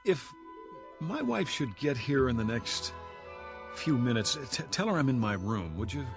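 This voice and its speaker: A man's voice: medium pitch, very animated, normal speed. An actor playing an anxious salesman in his thirties recovering from a breakdown, hesitant and stammering, in a radio drama. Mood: nervous, eager. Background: background music.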